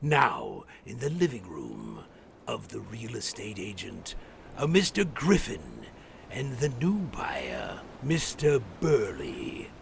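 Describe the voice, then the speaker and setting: Macabre radio horror host: heavy gravelly creak, swooping pitch, savoring every ghoulish word. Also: male voice, huge pitch swings, a little hiss or hum, exaggerated voice. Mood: ominous storytelling. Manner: theatrical, macabre, drawn-out delivery. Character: eerie, gleefully morbid male horror host and storyteller. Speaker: host and narrator of a horror show. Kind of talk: 1940s radio horror acting, dramatic narration.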